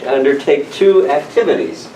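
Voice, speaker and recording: A man acting as a club election chairman, speaking to members in a casual, jocular, halting way. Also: fairly high pitch, big pitch swings, dark tone, slight background noise, poor audio. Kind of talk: informal ceremony and announcements at a club meeting. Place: club meeting room.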